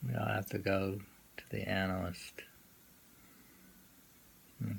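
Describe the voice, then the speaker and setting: A man reading poems aloud informally and musing on them in a halting way, often repeating lines. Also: low voice, flat delivery, vocal fry. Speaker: poetry reader and commentator. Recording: noisy amateur recording.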